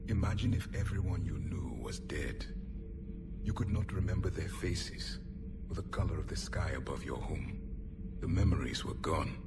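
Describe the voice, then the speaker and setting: Deep-voiced alien warrior, last of his race, low and hushed, distant mic under loud game music. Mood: mournful. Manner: somber, measured, low and hushed. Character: grave male Prothean soldier, last of his kind. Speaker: squad member.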